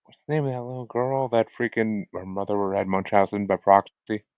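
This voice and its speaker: Low-voiced man, slightly muffled podcast audio. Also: clean tone, no echo. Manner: halting, searching for words, profane. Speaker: podcast co-host. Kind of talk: casual chat.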